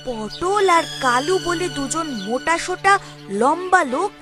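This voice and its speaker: A woman's voice: very high voice, animated, unhurried. A woman voicing a brave, determined young village cowherd boy in a children's cartoon, with earnest, dramatic delivery. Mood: resolute. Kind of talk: Bengali children's cartoon dialogue. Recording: music behind the voice.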